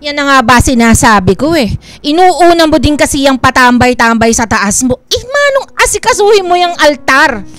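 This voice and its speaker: A woman's voice: high voice, big pitch swings, moderate pace, fairly bright tone, no room sound, faint music behind. A woman acting a strict, impatient, scolding Filipino mother in a radio drama. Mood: nagging.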